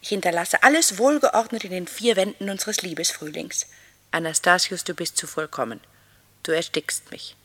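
Romantic runaway wife, bright voice, dramatic declaiming of a goodbye letter, 60s german radio play. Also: female voice, on the low side, moderate pace. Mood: tender, melodramatic. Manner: melodramatic, declamatory. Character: theatrical, romantic runaway wife reading her farewell letter.

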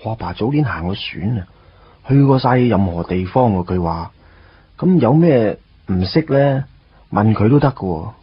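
Lively, lovestruck Hong Kong schoolboy. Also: male voice, on the low side, moderate pace, breathy edge. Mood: earnest. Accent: Hong Kong Cantonese. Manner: hesitant, tongue-tied, earnest. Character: shy, awkward, lovestruck teenage schoolboy, narrating his first love. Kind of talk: Cantonese radio drama acting and narration. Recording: dull lo-fi radio drama audio. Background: guitar music.

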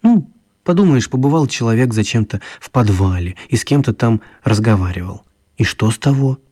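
A man narrating a children's radio play as an expressive, wry storyteller. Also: mid-range voice, brisk, breathy edge. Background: music.

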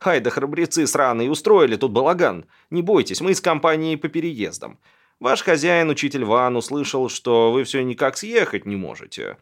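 A man's voice: medium pitch, expressive, moderate pace, close to an everyday voice. A man voicing a brash, coarse foreman who barks mocking orders at his moving crew in an audiobook. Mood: brazen, mocking.

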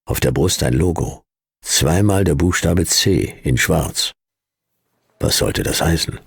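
Very deep, gravelly hard-boiled detective. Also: male voice, takes it slowly, no room sound, studio quality, exaggerated voice. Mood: deadpan, tense. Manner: terse, clipped, deadpan, hard-boiled. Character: world-weary, sardonic male private detective narrating his case. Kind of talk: hard-boiled radio play narration and dialogue.

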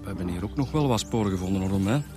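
An easygoing Flemish detective in a radio drama. His voice is on the low side and he explains things in loose, colloquial speech with tag questions. Faint music sits behind him. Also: animated, very fast. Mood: explaining. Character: easygoing, chatty male police detective.

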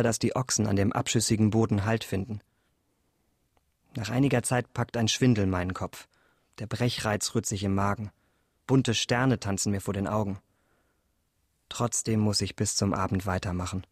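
A weary, bitter peasant man speaks in a lowish voice. The radio monologue is recorded close and dry. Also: steady tone, normal speed, long pauses, a little mellow, husky, no room sound. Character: weary male peasant cursing his stony field.

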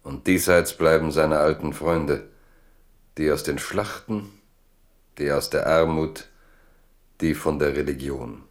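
Very deep, slow male narrator. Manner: measured, solemn storytelling. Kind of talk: scripted radio drama narration.

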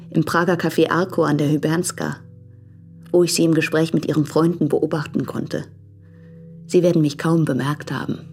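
A woman playing an earnest, troubled married letter writer in a radio play, reading in a formal, measured manner. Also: low voice, darkish tone, close to an everyday voice. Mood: earnest, respectful.

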